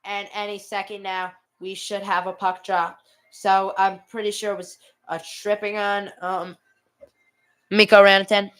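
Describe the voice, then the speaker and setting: A woman's voice: mid-range voice, level tone, measured pace, fairly bright tone, no room sound. A woman doing live amateur hockey play-by-play and casual chat as host of a watch party, speaking into a headset.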